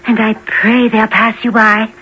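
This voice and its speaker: A woman's voice: fairly high pitch, little pitch movement, unhurried, sharp tone, slightly rough, heavily acted. An actress playing a frightened, sorrowful frontier townswoman who pleads urgently in a dramatic radio-play style. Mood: grave, urgent. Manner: dramatic, imploring. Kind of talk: 1970s radio drama acting. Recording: muffled, lo-fi old radio recording, faint noise.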